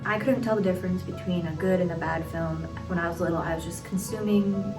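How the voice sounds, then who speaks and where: A woman's voice: fairly low pitch, steady tone, a little fast. A twenty-year-old woman giving frank, casual interview answers with ums and likes. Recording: roomy, distant mic, music underneath.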